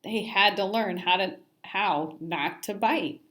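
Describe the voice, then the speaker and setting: A woman's voice: mid-range voice, slow, fairly bright tone. A woman narrating a children's story aloud expressively, like a teacher reading to a class.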